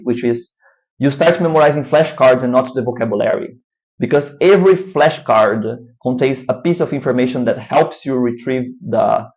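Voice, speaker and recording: A man's voice: medium pitch, a little mellow, muffled recording. A man with a Brazilian Portuguese accent, a language-learning vlogger talking casually to camera, rambling and often adding "right?". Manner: rambling, frequent "right?" tag questions.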